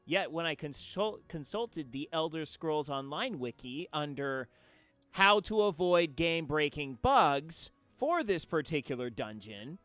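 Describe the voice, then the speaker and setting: Male game streamer, fairly high voice, theatrical emphasis, casual storytelling, faint music behind. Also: big pitch swings, a little slow, a bit bright, dry recording.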